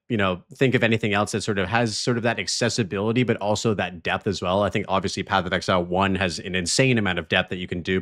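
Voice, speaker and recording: A man's voice: fairly low pitch, a bit bright. A man hosting a podcast, talking in a loose, laid-back way. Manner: laid-back, loose, many fillers like "sort of". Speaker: podcast host. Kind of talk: casual podcast conversation.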